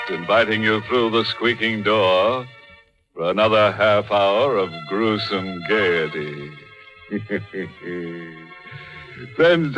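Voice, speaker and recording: Creepy old radio horror host, low slow droll voice, loud music behind. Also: male voice, dull audio. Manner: droll, drawn-out, mock-sinister. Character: ghoulish, gleefully macabre, mock-friendly horror host. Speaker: horror show host and narrator. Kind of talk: 1940s radio horror narration.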